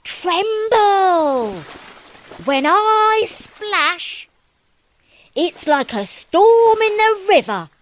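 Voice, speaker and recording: A woman voicing a boastful, haughty female hippo empress in a children's story, with grand, drawn-out exclamations. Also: extremely high pitch, sing-song, a little hiss or hum, pitched above the performer's own voice, exaggerated voice.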